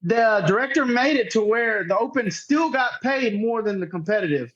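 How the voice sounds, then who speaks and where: A man's voice: high-pitched, animated, moderate pace, fairly bright tone. A man from chats casually as an interview guest, telling anecdotes in an informal, self-deprecating way. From Northeast Texas, United States. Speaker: podcast guest, tournament director. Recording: dull, lo-fi remote audio.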